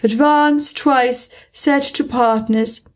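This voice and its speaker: A woman voicing a melancholy male turtle in a storybook, with a drawn-out, solemn delivery. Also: high voice, fairly even intonation, pitched above the performer's own voice. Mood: wistful excitement. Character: melancholy old male turtle, sobbing and nostalgic.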